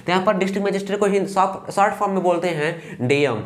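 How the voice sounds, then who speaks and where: A young man with an eastern Uttar Pradesh Hindi accent teaching a lesson, reading English lines slowly and carefully. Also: sits high. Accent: Hindi speaker from eastern Uttar Pradesh. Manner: slow and careful when reading English lines, fillers "ठीक" between steps. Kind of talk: explaining a lesson.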